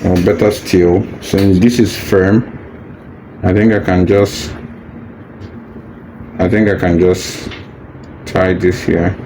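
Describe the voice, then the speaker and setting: A man's voice: low voice, little pitch movement, slow, pauses often, mellow, rough recording. A man patiently walking through a hands-on unboxing tutorial, casual and reassuring, repeating his steps as he goes. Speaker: product demonstrator. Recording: faint background noise.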